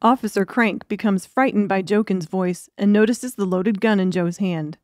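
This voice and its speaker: A woman's voice: mid-range voice, moderate pace, no room sound. Dry, close-recorded female audiobook narrator. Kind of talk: scripted story narration.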